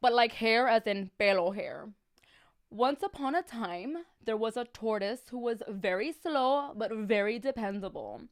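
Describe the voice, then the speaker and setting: A woman with a fairly high voice reads children's tales for a livestream. She is casual and chatty, breaking in with sarcastic asides and bits of Spanish slang, keeping the reading comic. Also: measured pace. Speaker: storyteller on a livestream.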